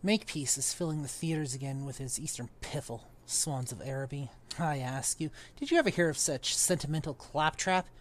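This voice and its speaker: Blustery grumpy old magician guy, someone doing the voice, low and muttering. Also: fairly quick, hardly any pauses, lightly acted. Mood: grumbling. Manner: blustering, disdainful. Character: pompous grumpy older male magician.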